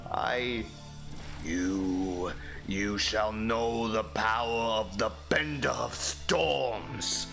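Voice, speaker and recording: A man voicing an arrogant storm-spirit boss monster from a video game, in a slow, booming, haughty way. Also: vocal fry, fairly bright tone, exaggerated voice. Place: small home room. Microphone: studio mic on a boom stand. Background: game music.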